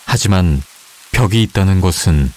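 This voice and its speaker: A man calmly narrating a scripted urban legend in an even, matter-of-fact way. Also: deep voice, moderate pace. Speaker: storyteller.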